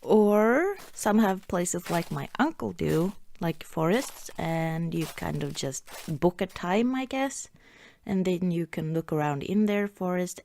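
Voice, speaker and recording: A woman with a Norwegian accent playing a cheerful, affectionate girlfriend in an ASMR roleplay, soft-spoken, chatty and a little hesitant. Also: fairly low pitch, vocal fry, slight background noise.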